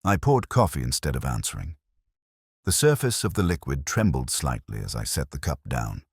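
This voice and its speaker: A man acting as a brooding, world-weary police detective narrates his own case in a scripted audio drama. His voice is extremely deep and somewhat husky, with expressive rises and falls. The phrasing is literary, introspective and measured. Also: no room sound, studio quality, heavily acted. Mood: brooding, reflective.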